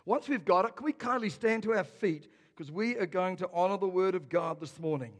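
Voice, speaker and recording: A man preaches to his congregation with a high, lifted voice, rousing them and calling out questions as he goes. The sound carries the long reverb of a large church hall. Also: fairly quick. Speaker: pastor. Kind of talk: sermon.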